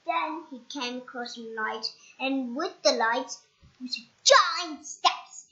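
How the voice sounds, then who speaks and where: A child reading a picture book aloud in a halting way, stumbling and correcting words. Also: sits high, bright, forceful tone. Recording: roomy echo, dull lo-fi sound.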